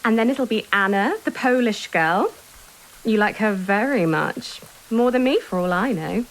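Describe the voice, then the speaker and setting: An actress playing a brisk, practical home carer in a naturalistic audio drama. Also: female voice, mid-range voice, expressive, poor audio. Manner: matter-of-fact, brisk.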